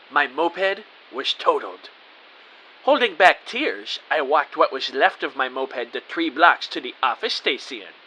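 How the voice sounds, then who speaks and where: Very bright, excitable rookie spy played by a man. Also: on the high side, low-quality recording. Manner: eager, melodramatic. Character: earnest, excitable male rookie spy narrating his adventure. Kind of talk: first-person audio drama narration with dialogue. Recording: faint background hiss.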